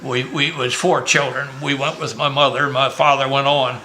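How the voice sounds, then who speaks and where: A man's voice: mid-range voice, level tone, moderate pace, sharp tone, poor audio. An older man from Maryland, a grandfather telling his life story in a rambling, joking way during a casual family interview at home. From Maryland, USA.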